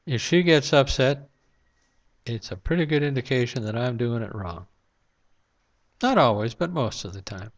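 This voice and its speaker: A man's voice: mid-range voice, animated, moderate pace, long pauses. Reflective man rambling in a dull lo-fi recording. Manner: rambling, reflective, with many fillers. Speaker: vlogger. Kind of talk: casual monologue to camera. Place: home room at night, lamp-lit.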